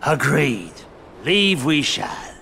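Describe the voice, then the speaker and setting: An actor playing a sly, menacing older pirate captain in a video game, with a gravelly voice and a theatrical, sardonic drawl. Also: male voice, on the high side, big pitch swings, drawn-out words, bright, forceful tone, slight background noise, heavily acted. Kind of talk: video game cutscene acting.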